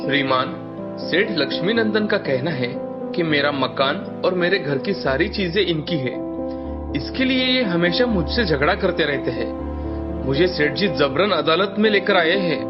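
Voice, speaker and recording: A shrewd young man in a cartoon story who is polite but pointed, voiced by a man. His voice is high for a man, with a lively pitch. The recording is dull and lo-fi, with loud music behind it. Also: unhurried. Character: clever poor young man, calm and shrewd.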